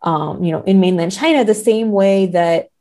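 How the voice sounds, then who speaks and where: A woman's voice: medium pitch, moderate pace, warm tone. A woman talking through literary ideas in conversation as a podcast guest, thinking aloud and often hedging her points. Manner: hesitant, hedging, thinking aloud, seeking agreement with "right?".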